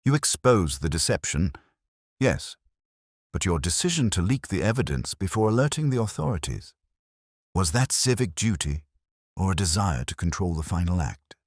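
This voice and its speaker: A man voicing a gentle, wry, probing police inspector in a detective drama, speaking in measured, pointed phrases. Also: sits low, sing-song, dry recording, voice pushed up. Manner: measured, dry, pointed questioning.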